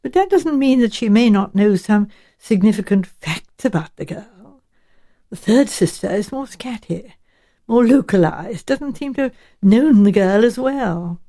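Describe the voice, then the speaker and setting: A woman voicing a courteous, frank male English professor in an audiobook, speaking in a measured and direct way. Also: medium pitch, expressive, dark tone. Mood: measured, informative.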